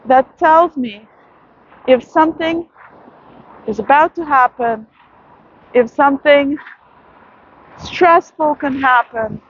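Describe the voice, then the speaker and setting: High-voiced woman musing late at night. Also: lo-fi sound. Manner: soft, musing, with frequent pauses and fillers. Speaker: vlogger. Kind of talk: reflective late-night monologue. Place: outdoors at night in a city.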